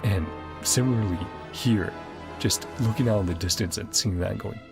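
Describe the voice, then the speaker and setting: A man's voice: fairly low pitch, moderate pace, vocal fry. A man casually chatting as a livestream game host, rambling and enthusiastic in his commentary. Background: game music.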